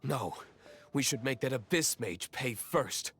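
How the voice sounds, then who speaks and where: A man playing a cool, aloof, brooding young nobleman in an anime video game, measured, dry and stern. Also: medium pitch, animated, fairly bright tone, a little hiss or hum. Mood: stern, determined.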